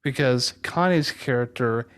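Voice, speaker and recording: A man's voice: mid-range voice, measured pace, a bit bright, smooth. Man, podcast host, mid-range voice, casual interview talk.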